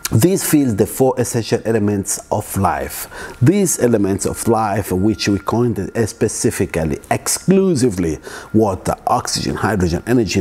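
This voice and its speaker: Middle-aged male presenter from East Africa, a bit low and husky, stumbling lecture into a lapel mic. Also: warm tone. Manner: halting, many restarts and fillers. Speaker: wellness presenter. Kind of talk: informal lecture. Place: living room at home, indoors.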